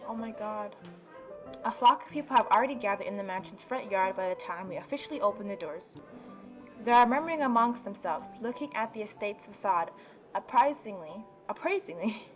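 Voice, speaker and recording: A woman hosting a let's play, reading a visual novel aloud and adding informal, reactive asides. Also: mid-range voice.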